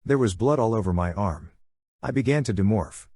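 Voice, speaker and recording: A man's voice: lowish voice, expressive, moderate pace, dark tone, dry recording. A man narrating a dramatic first-person story from a script as an audiobook reader.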